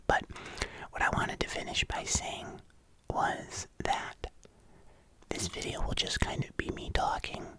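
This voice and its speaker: A young adult ASMR creator whispering a rambling voiceover into a binaural mic, congested, with clicky mouth sounds and many fillers. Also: poor audio. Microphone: binaural microphone.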